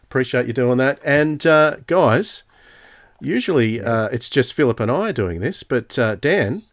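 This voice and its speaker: A man's voice: medium pitch, lively intonation, measured pace, no echo, clean studio sound. A man with an Australian accent casually hosting a podcast and speaking to the listeners. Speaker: podcast host, editor.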